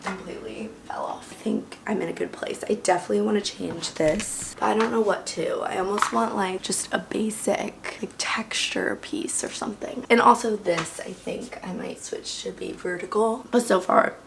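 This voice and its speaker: A young woman vlogger with a hoarse, fading voice, chatting casually to the camera and often slipping into a breathy half-whisper. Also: medium pitch, normal speed, hardly any pauses, background noise. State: hoarse, losing her voice. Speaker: lifestyle vlogger. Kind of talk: casual vlog chat to camera.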